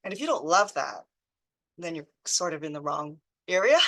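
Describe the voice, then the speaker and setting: A woman in her fifties on a restructuring panel, enthusiastic about her work, her sentences trailing off softly. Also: low-pitched, very animated, normal speed, vocal fry. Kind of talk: panel discussion.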